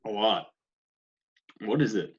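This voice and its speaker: A man's voice: on the low side, animated, slow pace, slightly rough, heavily acted. An actor playing an awkward, polite young man, hesitant and baffled, in a comedic script read over a dull connection. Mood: puzzled. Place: recorded remotely at home on a video call.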